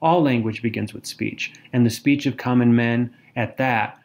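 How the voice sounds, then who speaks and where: Measured, articulate man co-hosting a podcast. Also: mid-range voice, fairly even intonation, darkish tone. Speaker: podcast co-host. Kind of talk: literary discussion with prepared remarks.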